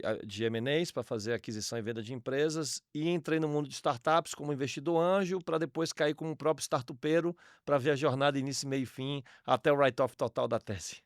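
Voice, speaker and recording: Um homem baiano de uns quarenta anos, com sotaque de Salvador, contando sua trajetória num podcast, de jeito direto e irônico. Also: male voice, medium pitch, dry recording, clean studio sound. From Salvador, Bahia, Brazil. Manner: blunt, ironic, storytelling with emphatic slang. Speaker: podcast guest, entrepreneur. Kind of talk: casual podcast interview.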